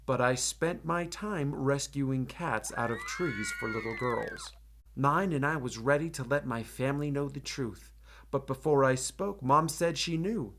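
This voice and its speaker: A man's voice: fairly high pitch, vocal fry, fairly bright tone, exaggerated voice. A man voicing a ten-year-old former superhero boy, wistful but determined, in a rhyming picture-book read-aloud. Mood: proud, matter-of-fact.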